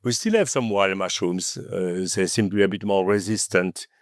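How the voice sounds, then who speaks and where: A man with a French accent, French his first language, talking as a podcast guest in an animated storytelling manner, often adding "okay?" and "you know". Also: low voice, moderate pace, no echo, clean studio sound. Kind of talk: conversational interview.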